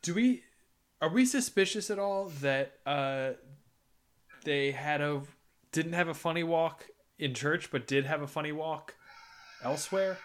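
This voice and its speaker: A man's voice: a little high, unhurried, long pauses. A man playing a tabletop game with friends, talking in a playful, goofy way. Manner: playful, goofy, in-character bluster. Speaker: player. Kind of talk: casual tabletop game chat.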